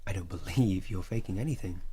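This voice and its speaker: A man acting in an audio drama as a calm psychiatrist in his thirties, measured, questioning and probing. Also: sits low, husky. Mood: calm, careful.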